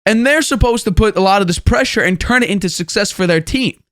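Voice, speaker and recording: High-voiced young man talking sports. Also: a little fast, a bit bright, no echo, clean studio sound. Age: 20 years old. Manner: conversational and opinionated, repeats phrases for emphasis. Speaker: sports show host. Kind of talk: sports commentary monologue.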